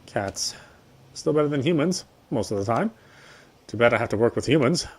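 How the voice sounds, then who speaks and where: A man's voice: mid-range voice, expressive, moderate pace, a little mellow, slightly rough. A man streaming a visual novel, reading its story aloud and commenting casually. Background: faint game music.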